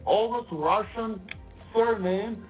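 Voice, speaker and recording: A man's voice: high voice, slow, faint music behind. A man with an Eastern European accent and broken English, a freight broker calling to argue aggressively. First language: not English. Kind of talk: confrontational phone call. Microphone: phone. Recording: tinny phone line.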